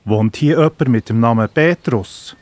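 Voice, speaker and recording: A man's voice: mid-range voice, moderate pace, noisy background, lightly acted. A man voicing a blunt Roman soldier in a children's audio drama.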